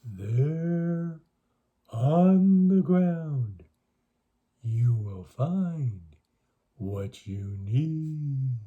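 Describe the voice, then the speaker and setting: A man voicing a solemn, mysterious dream spirit in a children's story, speaking slowly and deliberately with drawn-out words. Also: fairly high pitch, lively intonation, phone-quality sound. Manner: slow, drawn-out, deliberate delivery.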